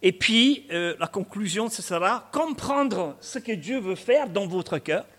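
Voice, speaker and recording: A man's voice: sits high, lively intonation, measured pace, fairly bright tone. A man in his sixties with a Scottish accent, preaching a chatty sermon sprinkled with asides and humor. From Scotland. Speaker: pastor.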